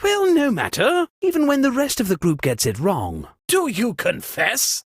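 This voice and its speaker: A pompous British aristocrat from a video game, flustered and easily indignant. His pitch swings wildly through every line with theatrical flourish. The voice has a husky edge and sits in a dry, close space. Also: wildly swooping pitch, a little slow, no room sound, full character voice. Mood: flustered hosting. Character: pompous, flustered aristocratic lord hosting a murder party. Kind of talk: video game character voice acting.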